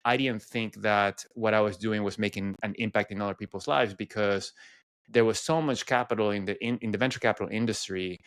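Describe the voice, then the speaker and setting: Smooth, low-voiced man from Venezuela. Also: fairly even intonation, a little fast, a bit bright, no echo. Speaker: podcast guest. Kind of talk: personal storytelling in an interview.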